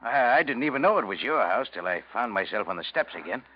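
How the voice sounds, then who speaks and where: An actor playing a shady stranger in a 1940s radio noir, halting and casual with a faint menace. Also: male voice, mid-range voice, very animated. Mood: falsely casual. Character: scarred, shady stranger feigning a stalled car. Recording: muffled old radio broadcast.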